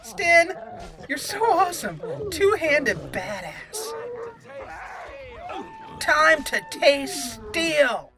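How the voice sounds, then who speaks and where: A woman hosting a gaming channel, giving casual, playful let's play commentary in an excitable, chatty way. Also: sits high, drawn-out words, pauses often. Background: game music under the voice.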